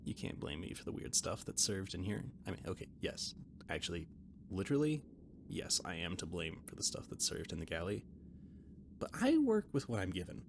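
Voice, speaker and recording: A man playing a shy, rambling alien catboy ship mechanic in an intimate roleplay audio drama, stammering and gently defensive as he talks. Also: deep voice, gravelly, a little hiss or hum, exaggerated voice. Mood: playfully defensive.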